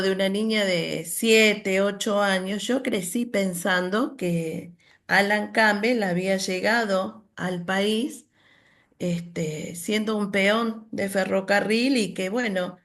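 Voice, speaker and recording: A woman leads a workshop. She talks in a reflective, unhurried way and tells stories as she goes. She often adds a questioning "¿no?" to check in with her listeners. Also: medium pitch. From Argentina. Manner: reflective, storytelling, many "¿no?" tags. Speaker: workshop leader. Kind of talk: online workshop presentation.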